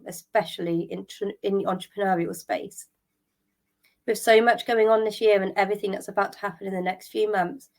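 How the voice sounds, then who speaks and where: A middle-aged woman, hosting a solo podcast, a little croaky from a cold, talking openly and a bit wearily, with ums and restarts. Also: medium pitch. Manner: open and candid, thinking aloud, with ums and restarts; tired and drained. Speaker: podcast host. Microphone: podcast microphone.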